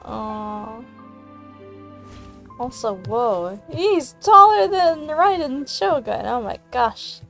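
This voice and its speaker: A woman's voice: on the high side, big pitch swings, very slow, creaky, fairly bright tone. A woman chatting casually as she plays a game, giggly and slangy, full of exclamations. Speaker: game streamer. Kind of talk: casual gameplay reaction chat. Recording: faint game music behind.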